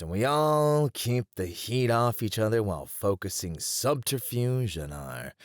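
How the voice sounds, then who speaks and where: A man's voice: fairly low pitch, dry recording, studio quality. A man playing a charming, mischievous, flirty mafia boss in a teasing, theatrical ASMR roleplay. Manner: teasing, theatrical, smooth-talking.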